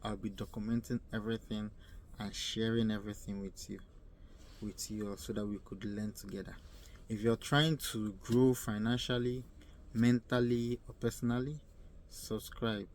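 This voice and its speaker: A young man from Nigeria with a Nigerian accent giving earnest advice in a casual vlog, often repeating himself and pausing to search for words. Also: lowish voice, steady tone, a little mellow. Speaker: vlogger. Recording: faint ambient noise.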